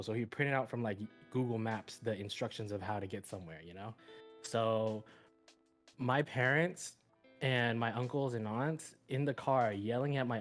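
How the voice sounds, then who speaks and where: Casual young man rambling through a story. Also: mid-range voice. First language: Vietnamese. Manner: rambling, many restarts and fillers, laughs at himself. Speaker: podcast guest, streamer. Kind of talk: casual life-story chat.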